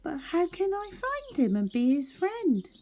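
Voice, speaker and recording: Female reader as little boy beaver: high, lively, earnest, childlike, friendly storybook character. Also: measured pace, exaggerated voice. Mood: puzzled, questioning. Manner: exclaiming, earnest, childlike questioning. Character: small young male beaver, eager, lonely, friendly.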